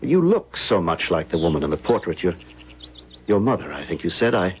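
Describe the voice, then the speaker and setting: An actor playing a haunted, nervous gentleman in an old-time radio drama, fraught and dramatic as he talks and tells his tale. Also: deep voice, sing-song, fairly quick, slight background noise. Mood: fascinated, eager. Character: haunted, nervous gentleman recalling terror of decades past. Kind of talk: old-time radio drama acting, first-person narration. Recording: dull vintage radio recording, loud music behind.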